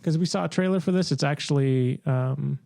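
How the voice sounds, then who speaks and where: A man co-hosting a podcast in casual group chat, giving low-key, hedged opinions. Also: fairly quick, creaky, warm tone, dry recording, clean studio sound. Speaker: podcast co-host. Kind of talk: casual group chat about games and films.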